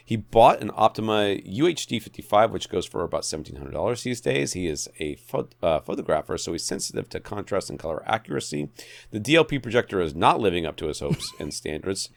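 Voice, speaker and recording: A man with a low voice and very animated intonation, recorded close and dry. He talks as a podcast co-host in a casual, conversational way with a wry streak. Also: gravelly, dry recording. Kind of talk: casual home theater discussion.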